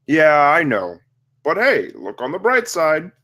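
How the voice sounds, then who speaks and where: A man acting a casual, sheepish father in his fifties at an animated comedy table read. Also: fairly high pitch, unhurried, full character voice. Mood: apologetic. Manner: casual, hesitant, brushing off bad news.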